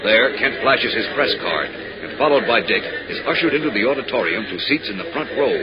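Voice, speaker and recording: A man's voice: mid-range voice, lively intonation, brisk, highs cut off, a loud crowd. A man narrating a 1940s radio serial in a suspenseful, theatrical style. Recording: old 1940s radio, loud music behind.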